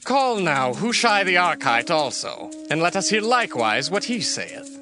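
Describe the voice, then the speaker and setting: A man's voice: sits high, very animated, normal speed. An actor playing a young rebel prince who gives commands to his counselors in a dramatized scripture reading. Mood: commanding. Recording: music bed behind voice.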